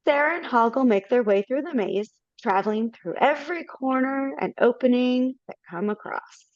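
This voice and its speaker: A woman narrating stage directions in a brisk, matter-of-fact way, over slightly dull video-call audio. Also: high-pitched, lively intonation, no echo.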